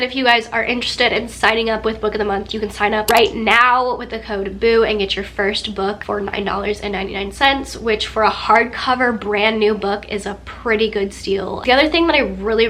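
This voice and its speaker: A woman's voice: a little high, a bit bright, slight background noise. A young woman vlogging about books in a chatty, gushing way, sounding nasal from a recent cold. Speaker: book vlogger. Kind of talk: casual sponsored book chat.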